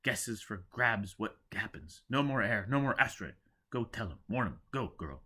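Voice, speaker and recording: A man voicing a battered, obsessed spaceship castaway who croaks and mumbles in terse, clipped slang. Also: on the low side, animated, moderate pace, bright, forceful tone, exaggerated voice. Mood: urgent, brusque.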